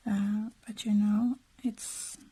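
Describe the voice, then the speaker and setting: Craft vlogger, female, native Swedish speaker with Finland-Swedish accent. Soft, very breathy husky tone, unhurried, hesitant, casual delivery. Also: medium pitch, flat delivery. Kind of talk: casual process narration while crafting.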